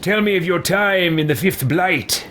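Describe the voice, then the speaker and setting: A man's voice: high-pitched, measured pace, fairly bright tone, a little hiss or hum, pitched above the performer's own voice. A man roleplaying a detached, calculating fantasy inquisitor in a video game, with terse, formal phrasing. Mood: cool, curious.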